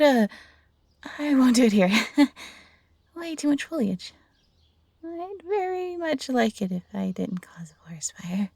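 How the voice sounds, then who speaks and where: A woman playing a gentle, eager fox-hybrid former servant in an intimate roleplay audio drama, soft-spoken and earnest with a breathy, slightly husky voice. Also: on the high side, dry recording, heavily acted. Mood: playful, excited, then curious, eager.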